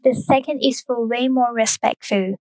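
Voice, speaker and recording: Woman with a high, dry voice. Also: darkish tone, no room sound, low-quality recording. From Thailand. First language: Thai. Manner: careful, measured explaining in second-language English. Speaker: podcast co-host. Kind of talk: explanatory cultural talk.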